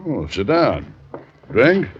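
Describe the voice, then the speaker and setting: Stubborn political schemer, middle-pitched male voice, old radio drama, dull sound, faint hiss. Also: huge pitch swings, slow pace. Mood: smooth, guarded. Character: stubborn, scheming male newspaper boss and candidate. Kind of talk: 1950s radio drama acting.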